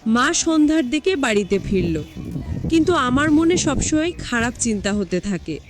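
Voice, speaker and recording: Female story narrator, high register, very lively intonation, scripted first-person delivery. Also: normal speed, over music.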